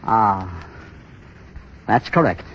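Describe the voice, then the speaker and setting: An actor playing a desperate, menacing moneylender in a 1930s radio drama, insistent and coldly insinuating. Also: male voice, fairly low pitch, expressive, drawn-out words. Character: desperate, menacing bank clerk and blackmailing moneylender. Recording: muffled, scratchy 1930s radio broadcast.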